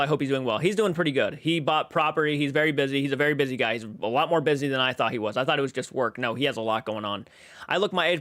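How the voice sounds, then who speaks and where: A man's voice: mid-range voice, a bit bright, dry recording, clean studio sound. A man in his twenties casually chatting as a livestream host, rambling as he reads viewer comments aloud and answers them. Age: 20s.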